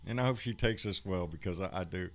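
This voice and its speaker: American man, lowish voice, casual show host, informal conversation. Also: fast talker. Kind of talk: casual chat.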